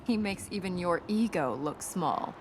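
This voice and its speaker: Composed young princess, noisy ambience. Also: female voice, fairly low pitch, animated, a little slow. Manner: composed, matter-of-fact, gently teasing. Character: earnest, dutiful young princess with dry wit. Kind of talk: video game dialogue acting. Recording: noisy game ambience behind voice.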